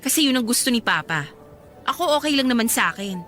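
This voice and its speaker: An actress playing an insistent, scheming bride who coaxes and pleads, in an emotional radio drama style. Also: female voice, on the high side, expressive, fast talker, a bit bright, faint music behind. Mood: coaxing. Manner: coaxing, wheedling persistence. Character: insistent, scheming bride, pleading with her resentful half-sister.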